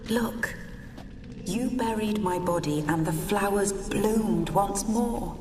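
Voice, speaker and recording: A woman playing the wistful, fragile ghost of a forsaken wife in a video game, speaking in a hushed, sorrowful way. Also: mid-range voice, takes it slowly, noisy background, close to an everyday voice. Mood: wistful, mournful. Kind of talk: dramatic video game dialogue. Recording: reverberant, distant hall effect.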